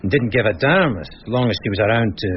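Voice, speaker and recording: Male police sergeant, acted, wry storyteller, animated mid-range voice, radio crime drama with faint noise. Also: mid-range voice, fast, muffled recording, lightly acted. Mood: wry gossip. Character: loyal, gossipy, matter-of-fact male police sergeant.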